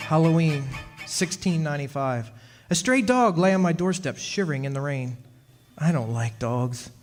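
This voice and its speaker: An actor playing a wry, centuries-old henpecked husband of a witch in a radio play, telling his story in measured dramatic style. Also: on the high side, expressive, close to an everyday voice. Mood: wry, reminiscing. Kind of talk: dramatic first-person radio play narration. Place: stage performance in a community club hall. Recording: echoey hall, music behind.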